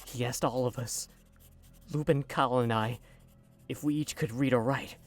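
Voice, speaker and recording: An actor playing a haunted young man recounting his captivity in a hesitant, halting way. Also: mid-range voice, fairly even intonation, lightly acted. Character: haunted young male witness, former captive.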